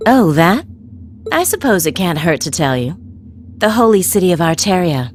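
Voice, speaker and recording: Coy, teasing, low-voiced woman villain. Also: big pitch swings, normal speed, slight background noise. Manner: coy, teasing, sing-song. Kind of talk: video game voice acting.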